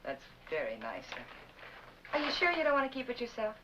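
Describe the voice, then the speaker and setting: Gentle, anxious wife, vintage drama. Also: female voice, on the high side, wildly swooping pitch, brisk, slight background noise, full character voice. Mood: kindly, cheerful. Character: gentle, anxious wife caring for an ill husband. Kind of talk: old-time dramatic acting with confiding narration. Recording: dull vintage recording, music underneath.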